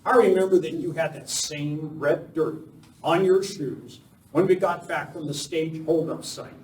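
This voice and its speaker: Righteous masked cowboy hero played by a man, fairly high voice, declamatory, live Western radio play, recorded from a distance. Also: unhurried, natural voice. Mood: stern, accusing. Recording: echoey room, distant mic, faint noise.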